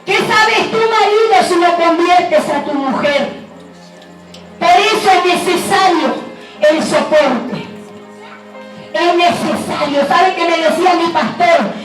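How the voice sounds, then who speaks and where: A middle-aged woman evangelist, preaching a fiery sermon in a shouting, half-singing cadence. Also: extremely high pitch, slow. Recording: echoey live church recording, far from mic. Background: music and congregation.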